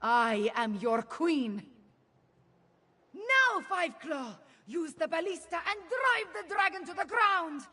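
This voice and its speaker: An actress playing a proud young cat-folk heir to the throne, solemn and deliberate, in a fantasy video game. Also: female voice, extremely high pitch, very animated, pushed and bright, in a large hall, full character voice. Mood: defiant, rallying. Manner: solemn, deliberate, regal declarations.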